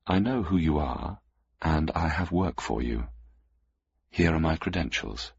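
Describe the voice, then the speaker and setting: Man doing a cool, curt woman collector for an audio story; husky, dry, muffled. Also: rumbling low, a little slow, no room sound, exaggerated voice. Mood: cool, businesslike. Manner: calm and curt.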